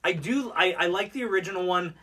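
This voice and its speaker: Bright-voiced male podcast co-host, off-mic. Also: high-pitched, fast talker, breathy edge, distant mic. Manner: rambling, filler-heavy with frequent "like". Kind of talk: casual unscripted chat.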